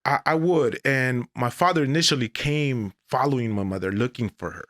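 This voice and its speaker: A man in his forties, originally from Mexico, telling his life story as a guest on an interview podcast in a deliberate, measured way. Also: medium pitch, a bit bright, no room sound, studio quality. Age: 40s. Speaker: guest, English professor.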